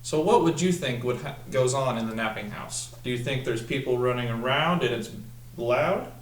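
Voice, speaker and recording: A young man with a mid-pitched voice reads a picture book aloud as a student teacher would. He stretches the story lines deliberately and speaks instructively to young listeners. The sound is roomy, picked up from a few feet away in a small room. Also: slight background noise. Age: young adult. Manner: deliberate, instructional, drawn-out when reading the text. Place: small room at a table, indoors. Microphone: camera mic a few feet away. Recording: roomy echo, distant microphone.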